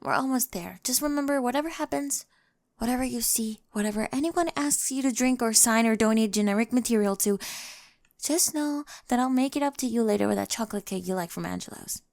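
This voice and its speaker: A woman's voice: fairly high pitch, fairly quick, vocal fry, darkish tone. A woman playing a quirky girlfriend in an ASMR roleplay, casual, wry and reassuring, fondly exasperated. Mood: nervous, reassuring.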